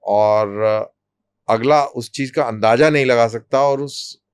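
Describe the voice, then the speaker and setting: A man speaking as an interview guest, explaining in measured, deliberate short phrases with pauses. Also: mid-range voice, clean tone, dry recording. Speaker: army colonel, interview guest.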